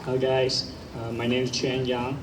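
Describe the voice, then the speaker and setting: A man's voice: mid-range voice, completely flat delivery, a little slow. A young man from Taiwan speaking unprepared, hesitant remarks as a new citizen. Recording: distant mic, room noise.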